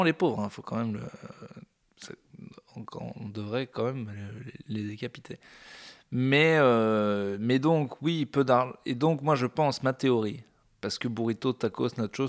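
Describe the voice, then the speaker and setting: A man's voice: mid-range voice, moderate pace. A man hosting a casual solo podcast, rambling through topics with ironic, jokey asides. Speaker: podcast host.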